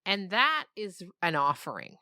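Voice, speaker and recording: A woman's voice: mid-range voice, big pitch swings, unhurried, bright, forceful tone, no echo, clean studio sound. A woman speaking as an interview guest, a storyteller and podcast creator talking reflectively and expressively in conversation.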